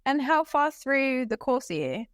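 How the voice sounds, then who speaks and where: A woman co-hosts a podcast and asks curious, encouraging questions in a fairly high voice. The sound is close and dry. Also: sing-song, unhurried, dry recording. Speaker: podcast co-host. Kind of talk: casual interview chat.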